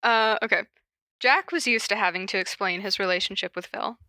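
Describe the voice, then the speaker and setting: Bright-voiced woman podcaster, playfully teasing. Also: medium pitch, brisk, dry recording. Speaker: podcast co-host and fan fiction reader. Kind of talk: casual chat with reading aloud.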